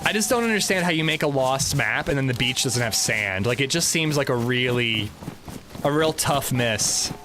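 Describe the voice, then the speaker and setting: A man's voice: mid-range voice, expressive, moderate pace, background noise. A man streaming a video game, chatting casually with his chat in a rambling, chatty way and swearing freely. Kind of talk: casual livestream chat while gaming.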